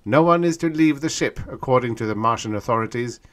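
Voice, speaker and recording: A man voicing a spaceship pilot in an audiobook story, barking short orders loudly in a raised voice. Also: medium pitch, fairly quick, voice pushed up, lightly acted. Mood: commanding. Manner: loud, clipped commands. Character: male spaceship pilot giving terse orders.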